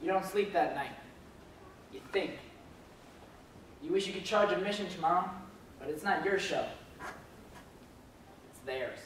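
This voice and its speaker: A man's voice: high-pitched, a little slow, long pauses, fairly bright tone. A man playing a slick, calculating circus owner in a theatrical stage monologue, with grand, declamatory delivery. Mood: brooding. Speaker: actor in a student readers theater group. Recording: echoey hall, distant mic, faint noise.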